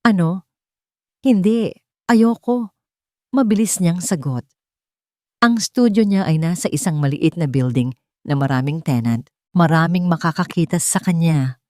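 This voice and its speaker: A woman's voice: low voice, lively intonation, normal speed, darkish tone, no room sound, clean studio sound. A woman reading a scripted, dramatic love story as its narrator. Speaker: narrator of romance stories.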